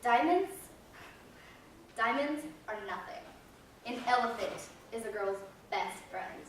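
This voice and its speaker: Casting: woman playing a showy, proud ballet girl of the circus, higher voice, stage delivery, very echoey. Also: lively intonation, takes it slowly. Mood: proud, rapturous. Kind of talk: theatrical monologue, readers theater. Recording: echoey stage, distant, faint noise.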